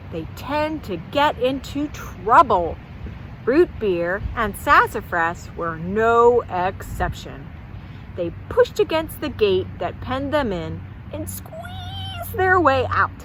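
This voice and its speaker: A woman telling a folktale at a children's storytime, pausing to ask young listeners questions. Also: a little high, very animated, a bit bright, a little hiss or hum, rough recording.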